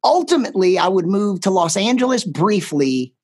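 A man's voice: high-pitched, normal speed. A man who grew up in Texas, a comedian guest chatting casually, joking and laughing easily. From Texas, USA. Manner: animated, jokey, laughs easily. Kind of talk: casual interview chat.

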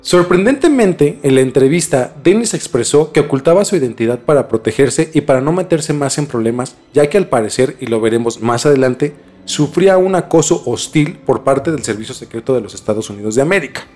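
A man's voice: medium pitch, expressive, fairly quick, a little mellow. A man hosting a podcast, telling a story conversationally to a co-host and repeating phrases for emphasis. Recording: music bed under the voice.

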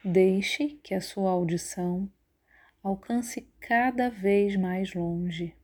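A woman leading a guided yoga nidra relaxation in a calm, measured, instructive way. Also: mid-range voice. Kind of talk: guided relaxation meditation narration.

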